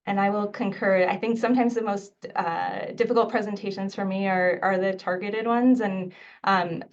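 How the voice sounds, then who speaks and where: A woman's voice: mid-range voice, fairly even intonation, normal speed, distant mic. A middle-aged woman from Mexico, Spanish her first language, gives an earnest, conversational mentoring talk full of fillers. Her voice comes through a video call, set back from the mic and slightly dull. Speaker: infectious disease physician and mentor. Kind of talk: webinar talk on presenting. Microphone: video call microphone.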